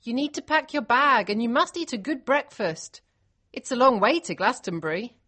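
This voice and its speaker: Woman acting a high, animated, bossy young woman. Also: normal speed. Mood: urgent, bossy. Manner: urgent, emphatic, gushing. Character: excited, bossy, affectionate older female relative. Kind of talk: audiobook character dialogue.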